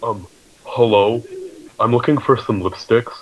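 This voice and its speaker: A man playing an earnest, naively persistent hefty girl shopper on a prank phone call, speaking haltingly. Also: mid-range voice, a little hiss or hum, natural voice. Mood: hesitant, earnest.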